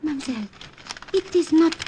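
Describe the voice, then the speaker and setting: French servant girl, French accent, deferential, excitable, high mellow voice, vintage radio drama. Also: fairly quick, slight background noise. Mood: flustered. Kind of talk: 1950s radio drama acting.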